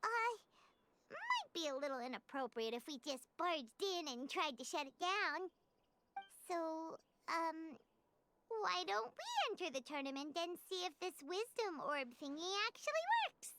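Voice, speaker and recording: A woman voicing a tiny, excitable, childlike female fairy companion in a video game, exclaiming as she talks. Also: very high voice, measured pace, background noise, full character voice. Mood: musing. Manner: exclaiming, refers to self in third person. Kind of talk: video game character acting.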